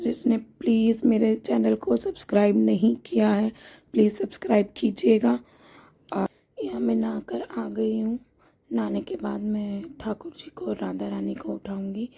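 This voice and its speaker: A hoarse woman vlogger narrating her daily home routine in a chatty, homely way, explaining each step as she goes. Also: medium pitch, flat delivery, measured pace, mellow. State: hoarse from a sore throat.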